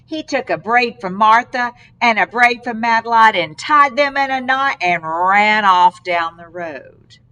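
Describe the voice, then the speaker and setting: A woman reading a children's story aloud in an expressive way, with dramatic, deliberate phrasing. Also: a little high, muffled recording. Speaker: storybook reader.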